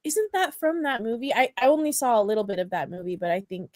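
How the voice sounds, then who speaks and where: A woman's voice: on the high side, very animated, fast, a little mellow, dry recording, studio quality. A woman chatting casually as a podcast panelist in a curious, agreeable, questioning manner. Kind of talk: casual chat, film review podcast. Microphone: online group call.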